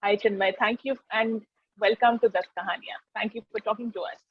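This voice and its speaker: A woman's voice: medium pitch, fairly even intonation, brisk, slightly rough, no room sound, lo-fi sound. A woman with an Indian accent, hosting an interview in a conversational, presenterly way. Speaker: interview host.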